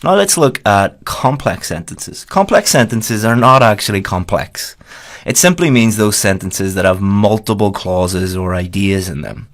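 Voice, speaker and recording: A man's voice: on the low side, lively intonation, unhurried. A man from Ireland, teaching a lesson over slides in a direct, explaining way that repeats key points.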